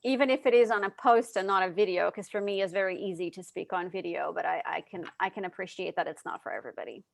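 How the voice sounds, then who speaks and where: A woman's voice: mid-range voice, fast talker, gravelly, no echo. A woman in her thirties, a guest in an interview, giving chatty advice with lots of fillers. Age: 30s. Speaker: guest, dietitian and hypnotherapist. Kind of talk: casual interview chat.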